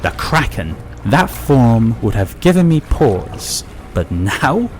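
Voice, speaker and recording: A man's voice: mid-range voice, big pitch swings, slow pace, slight background noise. An actor playing an arrogant, menacing old Father Time villain in an audio drama, speaking with haughty scorn. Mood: sneering, menacing.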